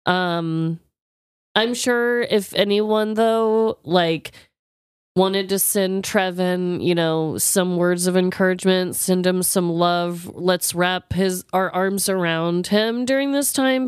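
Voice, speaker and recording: Smooth, low-pitched, unhurried woman, affectionate. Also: steady tone, no room sound, studio quality. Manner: affectionate, supportive, informal. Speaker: podcast co-host. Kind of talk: casual, heartfelt conversation.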